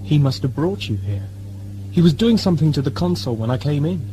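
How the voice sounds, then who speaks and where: A man's voice: on the high side, sing-song, fairly quick, dark tone, soft background music, poor audio. A man playing an earnest, uneasy companion in an audio drama, hesitant and defensive.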